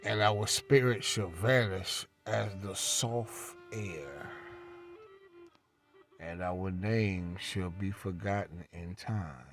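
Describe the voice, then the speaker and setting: Very slow, deliberate man reading scripture. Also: sits low. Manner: deliberate, halting phrasing with long pauses. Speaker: religious reader and commentator. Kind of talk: slow scripture read-along with commentary.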